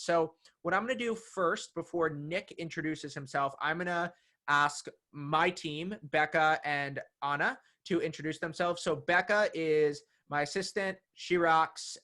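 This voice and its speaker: Man, workshop host, energetic, upbeat, bright tone. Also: on the high side. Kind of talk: online workshop introduction. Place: San Francisco.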